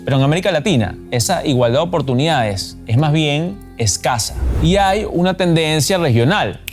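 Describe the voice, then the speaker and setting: A man's voice: on the high side, animated, moderate pace, soft background music. A man presenting a scripted explainer, narrating arguments and facts as the show's host.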